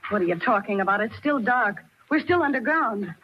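A woman's voice: on the high side, expressive, brisk, slightly rough. An actress playing a haughty, impatient, desperate trapped woman, in old-time radio horror style. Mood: irritated. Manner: insistent, imperious, sharp-tongued. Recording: dull, lo-fi old radio recording.

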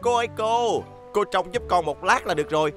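An actor with a southern Vietnamese accent voicing an eager, excitable young forklift in a children's cartoon. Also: mid-range voice, a little fast, faint music behind, natural voice. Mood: eager, proud. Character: bespectacled, excitable insect-collecting young forklift. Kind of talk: dubbed children's cartoon acting.

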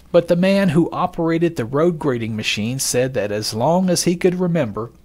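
A man giving scripted, expository narration, reading out quoted passages in a measured manner as a podcast narrator. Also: mid-range voice.

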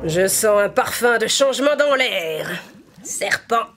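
A woman's voice: on the high side, animated, slow pace, over music. A woman voicing a scheming sea witch in a cartoon, gloating and theatrical, with cackling laughs. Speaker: voice actress. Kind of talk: cartoon voice acting.

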